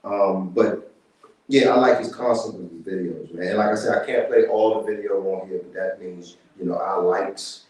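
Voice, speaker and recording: A man's voice: low voice, normal speed, darkish tone. A man hosting a casual interview show, easygoing and calling people "man". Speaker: show host. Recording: roomy, mic at a distance.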